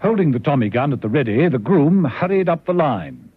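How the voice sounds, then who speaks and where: A man as the narrator of a spy thriller radio serial, telling the story in a measured, dramatic way. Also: on the high side, very animated, husky, no echo. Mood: suspenseful storytelling. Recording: dull old radio recording with music.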